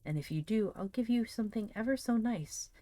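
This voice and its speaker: A woman voicing a kindly older girl in a storybook, gently and patiently encouraging a young boy. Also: a little high, expressive, fairly quick, heavy background noise, voice pushed up, natural voice. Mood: tender, coaxing. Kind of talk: dramatized storybook reading.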